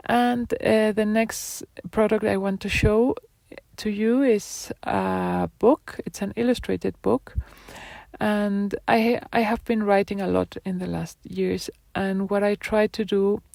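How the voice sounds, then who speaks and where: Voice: medium pitch, little pitch movement, slow, vocal fry, a little mellow, dry recording. A person presenting their own design projects in a live talk, speaking hesitantly with many fillers and restarts.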